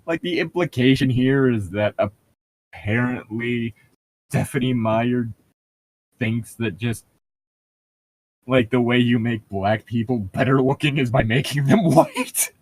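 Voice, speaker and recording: A man's voice: mid-range voice, measured pace, long pauses, low-quality recording. A man co-hosting a casual book commentary, swearing freely and rambling through his explanations with plenty of "like". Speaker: podcast co-host reading and critiquing a book.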